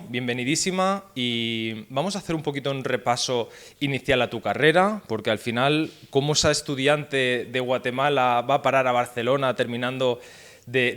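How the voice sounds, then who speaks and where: A man's voice: mid-range voice, moderate pace, a bit bright. A man hosting an interview podcast, introducing his guest and asking friendly questions. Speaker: podcast host.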